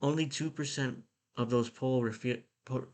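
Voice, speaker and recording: A man's voice: medium pitch, steady tone, moderate pace. A man from the United States giving blunt, irritated commentary while reading an article aloud at his computer, with frequent restarts. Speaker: political commentator.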